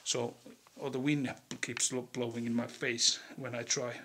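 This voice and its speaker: A man's voice: medium pitch, level tone, measured pace, pushed and bright, breathy edge. A man in his thirties with a Finnish accent, tiredly rambling as he explores an abandoned building, recorded on a chest-mounted camera. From Finland. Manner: hesitant, rambling, tired-sounding. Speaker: urban explorer vlogger. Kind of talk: casual vlog narration while exploring. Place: abandoned industrial building, indoors. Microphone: camera on a chest harness.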